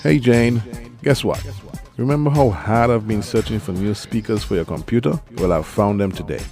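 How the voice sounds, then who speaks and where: Male ad voice, lowish pitch, scripted sales copy over prominent music. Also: normal speed. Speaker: advertisement voice actor. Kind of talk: scripted radio ad. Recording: loud music bed.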